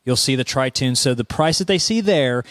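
A young man with an Oklahoma accent co-hosting a dealership podcast, chatty and animated in casual talk. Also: normal speed, dry recording, studio quality. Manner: chatty, animated, acts out customer quotes with pitch jumps. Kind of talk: casual promotional chat. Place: small studio set indoors. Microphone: standing studio mic with headphones.

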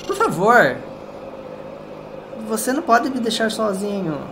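A man with a Brazilian accent voicing a friendly, anxious game robot that speaks in a halting, pleading way. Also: high-pitched, sing-song, background noise, voice pushed up. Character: friendly male robot, anxious as its system fails. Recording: loud game music behind.

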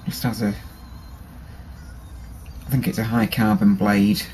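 Man, low, level delivery, casual and hesitant, workshop camera mic. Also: slow, slight background noise. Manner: relaxed, hesitant with many ums and restarts. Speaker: bushcraft hobbyist showing a knife. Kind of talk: casual show-and-tell. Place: workshop with a grinder and workbench.

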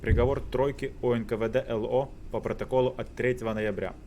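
A man's voice: mid-range voice, steady tone, fast talker, dark tone, a little hiss or hum, lightly acted. A man voicing a curt, impatient Soviet official who hands down orders and verdicts in a visual novel. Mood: cold, official.

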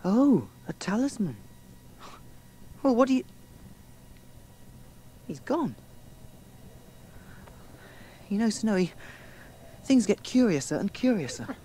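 An actor playing a plucky, earnest young adventurer in a radio drama, with a British accent and a polite, eager manner. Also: male voice, high voice, sing-song, a little slow, pauses often, warm tone, slight background noise. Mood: puzzled.

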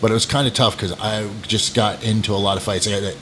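A man's voice: fairly low pitch, fairly even intonation, fast talker, a bit bright, a little hiss or hum. A middle-aged man from New England, guesting on a casual podcast, rambling through stories with self-deprecating jokes and many restarts. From New England, United States. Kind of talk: casual storytelling interview.

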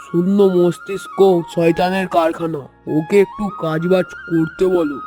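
Man as nagging village neighbour for cartoon: highish, even delivery, music behind. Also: normal speed, natural voice. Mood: admonishing. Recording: clear flute music behind the voice.

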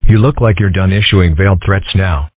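Casting: man as sensible cartoon fox lad, dry delivery, low husky voice, phone-like sound. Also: normal speed, dry recording. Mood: skeptical. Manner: dry, deadpan. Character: sensible, deadpan young fox boy. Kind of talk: comic strip dub. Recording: muffled narrow phone-like sound.

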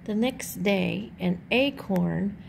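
A woman reading a children's picture book aloud in an animated, deliberate storytelling manner. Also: mid-range voice, creaky, slight background noise. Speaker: storybook reader.

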